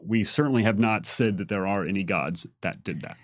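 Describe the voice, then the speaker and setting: Halting man thinking aloud, dry sound. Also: lowish voice, dry recording. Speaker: game developer answering fan questions on a stream. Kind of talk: casual unscripted Q&A talk.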